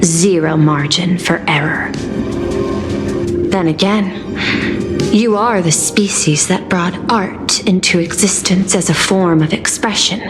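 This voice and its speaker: A woman voicing a calm, calculating machine intelligence in a video game, formal and precise, with a courteous air of superiority. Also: on the low side. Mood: formal, assured. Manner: formal, precise, measured diction. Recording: music behind the voice.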